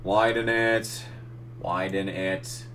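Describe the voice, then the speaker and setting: Young man, low voice going hoarse, casual self-narrating editor who swears at the screen. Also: fairly even intonation, drawn-out words, a bit bright, a little hiss or hum. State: losing his voice. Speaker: gaming channel host. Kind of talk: casual tutorial commentary while editing.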